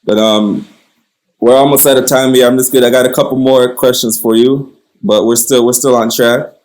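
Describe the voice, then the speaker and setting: A man's voice: mid-range voice, fairly even intonation, normal speed, darkish tone. A man interviewing conversationally, feeling his way through questions and rephrasing as he goes. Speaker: interviewer, host.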